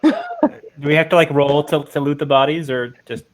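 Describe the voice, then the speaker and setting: A man's voice: a little high, huge pitch swings, normal speed, a crowd in the background. A man talking casually and playfully as a player in a tabletop role-playing game.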